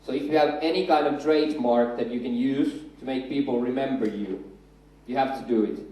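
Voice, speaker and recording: A man's voice: mid-range voice, a little fast, warm tone. Finnish man talking in an echoey hall. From Finland. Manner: chatty, self-deprecating, builds up to punchlines. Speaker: conference speaker. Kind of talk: conference talk with jokes. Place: large hall on a stage. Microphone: handheld mic. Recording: echoey hall, far from the mic.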